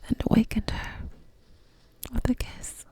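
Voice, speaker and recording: A woman whispering an ASMR bedtime story in short, soothing phrases with pauses between them. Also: warm tone.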